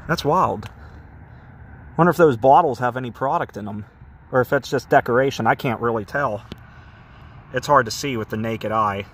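A man vlogging casually as he walks around an empty building outdoors, chatty and quick to get indignant, with a phone camera's sound. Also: mid-range voice, slightly rough. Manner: chatty, ranting, easily indignant. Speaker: urban explorer vlogger. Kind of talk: casual walk-around narration.

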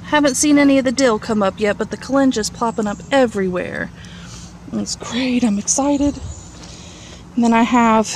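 A woman with a fairly high voice chats casually as she shows viewers around a backyard garden. She speaks off the cuff into a handheld camera, with clear outdoor ambient noise around her. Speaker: home gardener giving a tour. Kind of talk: casual off-the-cuff vlog narration. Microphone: handheld camera mic, held by speaker.

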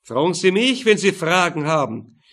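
A man's voice: a little high, big pitch swings, moderate pace, fairly bright tone, voice pushed up. A man voicing a smug, unruffled male gangster in an audiobook, mocking and nonchalant.

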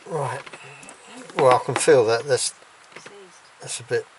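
A man's voice: medium pitch, slow pace. A man talking casually as a boat mechanic while working on an engine. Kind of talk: casual hands-on repair talk. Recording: clear background noise and clicks.

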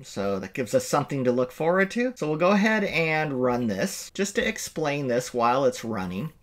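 A middle-aged man teaching a coding lecture over a screen recording, explaining in a relaxed way with small restarts, recorded in a home office. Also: mid-range voice, moderate pace. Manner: explanatory, self-correcting, relaxed. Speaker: instructor.

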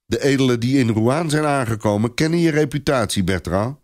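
A man's voice: medium pitch, fairly quick, darkish tone, no echo, studio quality, voice pushed up, natural voice. A man voicing a soothing, matter-of-fact male adviser to a knight in an audio story. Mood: soothing, sober.

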